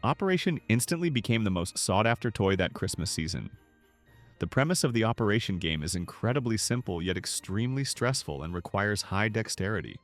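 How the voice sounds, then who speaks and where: A man reading a scripted, nostalgic documentary narration in a measured, polished way. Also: lowish voice, sing-song. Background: background music.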